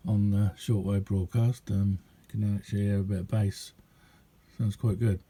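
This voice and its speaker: Man, very mellow dark tone, husky, lowish voice, hesitant, casual rambling demo. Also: steady tone. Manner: hesitant, unhurried, chatty. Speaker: radio hobbyist. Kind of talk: casual hands-on demonstration, rambling.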